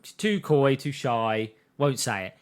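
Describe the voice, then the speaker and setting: A man's voice: mid-range voice, expressive, unhurried. A young man co-hosting a podcast, casually and thoughtfully retelling scenes from a show. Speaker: podcast co-host. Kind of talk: casual chat recapping an anime.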